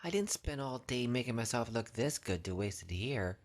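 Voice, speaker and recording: A man's voice: mid-range voice, lively intonation, normal speed, lightly acted. A man voicing a vain, impatient vampire clubgoer in a comic-book cartoon. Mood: impatient, bored. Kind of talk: motion comic voice acting.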